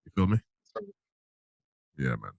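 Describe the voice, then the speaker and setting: A man's voice: very deep voice, sing-song, takes it slowly, vocal fry, darkish tone, no room sound. A man in his thirties from Saint Lucia, hosting a podcast in a laid-back, slangy chat. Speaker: podcast host. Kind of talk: casual chat.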